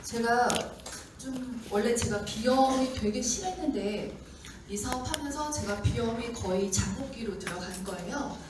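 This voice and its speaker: A woman giving a seminar talk to an audience, slightly hoarse from a sore throat, chatty and acting out the doubts of skeptics. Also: a little high, a little slow. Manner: chatty, acts out doubters' lines. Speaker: seminar speaker. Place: lecture room with audience. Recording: echoey hall mic from far off with noise.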